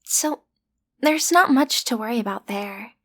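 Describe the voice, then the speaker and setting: A woman performs a smitten schoolgirl would-be villainess for an intimate audio roleplay. Her voice is fairly high and breathy, often falling to a whisper. She talks straight to the listener, hesitant and flustered, trying to sound menacing but staying playful. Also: a little slow. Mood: defiant, flustered. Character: lovestruck teenage schoolgirl, villain's daughter, awkward amateur kidnapper.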